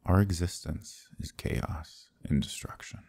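A man voicing a calm, condescending demon king villain in an ASMR roleplay, menacing and seductive with a smug, softly threatening delivery. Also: a little slow, exaggerated voice. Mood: cold, reasoning. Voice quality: very deep, gravelly, breathy. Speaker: voice actor.

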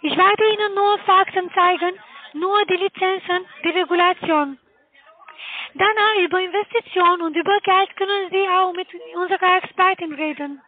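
Very high-voiced foreign woman on the phone. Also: normal speed. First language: not German. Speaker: cold caller selling online investments. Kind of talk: phone sales pitch. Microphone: telephone call. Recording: muffled narrowband phone line.

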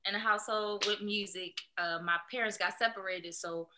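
A young woman from Philadelphia talks through her story in a casual video call from a small room. Her voice is bright and forward at a middle pitch, and she rambles easily with fillers and soft laughs. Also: fairly even intonation, moderate pace, slight background noise. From Philadelphia, USA. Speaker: singer, guest. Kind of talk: casual interview.

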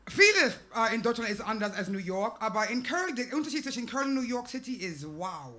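American woman comic, high bright voice, brash and animated, handheld mic, small stage. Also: a little fast. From United States. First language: English. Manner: brash, animated, with English phrases mixed in. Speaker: stand-up comedian. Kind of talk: stand-up routine. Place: small laundromat stage before a live audience. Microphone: handheld mic on a stand.

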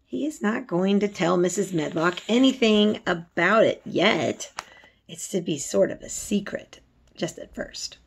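A woman's voice: medium pitch, animated, unhurried, creaky, a little hiss or hum. A woman voicing a curious, matter-of-fact young girl in a storybook, plain-spoken and insistent. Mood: firm.

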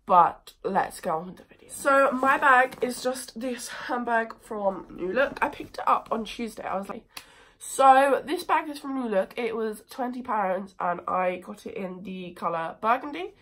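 A teenage girl from England with a cold and a croaky voice, chatting casually and with a self-mocking liveliness to a phone camera in her bedroom. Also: on the high side, animated, measured pace, a bit bright, low-quality recording. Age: teens. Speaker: vlogger. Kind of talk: casual chat. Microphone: phone camera at desk distance.

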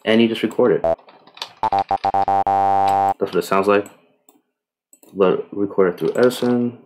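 A man giving a casual software tutorial in a relaxed, unhurried way with tentative asides. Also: deep voice, slight background noise, poor audio. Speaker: tutorial presenter. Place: indoors, voice-over on screen recording.

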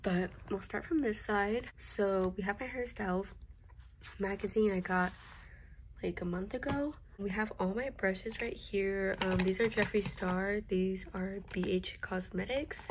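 Teen girl, mid-pitched voice, stuffy nose, chatty rambling, phone recording, bedroom, faint background hum. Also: a little slow. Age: teens. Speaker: makeup vlogger. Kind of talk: casual vanity tour vlog. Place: bedroom at home. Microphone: phone camera.

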